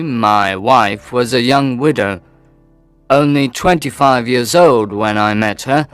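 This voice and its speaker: An actor playing an upset Victorian gentleman who earnestly pleads for help with a marriage problem. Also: medium pitch, lightly acted. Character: worried, upset Victorian male gentleman visitor.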